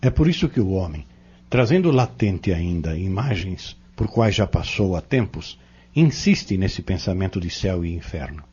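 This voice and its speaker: A Brazilian man acting in an audio novel as a patient, earnest Spiritist teacher, explaining doctrine in measured, emphatic phrases. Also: low-pitched, big pitch swings, a little mellow, breathy edge, heavily acted. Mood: earnest, instructive. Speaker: Spiritist instructor in an audio drama. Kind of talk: didactic audio-novel dialogue. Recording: dull, lo-fi audio.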